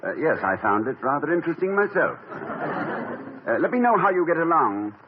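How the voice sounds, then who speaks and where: Stammering, pedantic college president. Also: male voice, fairly high pitch, big pitch swings, normal speed, noisy background. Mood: wry. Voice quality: hesitant, stammering, professorial. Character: genteel, pedantic middle-aged male college president. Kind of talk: 1950s radio comedy acting. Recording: dull lo-fi 1950 radio broadcast.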